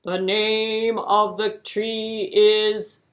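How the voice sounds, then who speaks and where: A woman voicing a grand, solemn king in a puppet-show folktale, proclaiming loudly and slowly in a stately manner. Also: mid-range voice, level tone. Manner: loud, slow, stately proclaiming. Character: grand, booming king of an African village, solemn.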